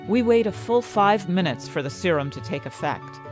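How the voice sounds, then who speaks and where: A woman's voice: lowish voice, lively intonation, moderate pace, darkish tone, natural voice. A woman playing an ambitious, indignant fantasy inventor, narrating her own story expressively and articulately in an audio drama. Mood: focused. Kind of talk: first-person dramatic audio fiction narration. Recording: music bed behind the voice.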